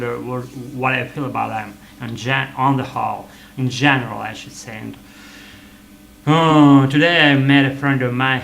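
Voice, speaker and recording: Gravelly-voiced man rambling in English. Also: medium pitch, a bit bright. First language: Russian. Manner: halting, many fillers and self-corrections. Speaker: vlogger. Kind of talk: rambling English practice monologue. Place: his apartment.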